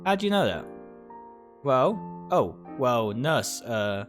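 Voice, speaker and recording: Curt, defensive young male patient read by a streamer, visual novel role, lively intonation over faint music. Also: mid-range voice, slow pace. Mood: flustered.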